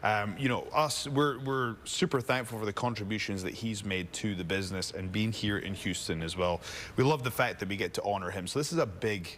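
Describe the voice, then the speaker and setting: A man's voice: fairly low pitch, a little fast, fairly bright tone, a little hiss or hum. Scottish wrestler guy, mild accent, cheeky hype man energy on a tv set. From Scotland. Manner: showy, hyping, playful boasting. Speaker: wrestler guest. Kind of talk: casual TV interview chat. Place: TV studio.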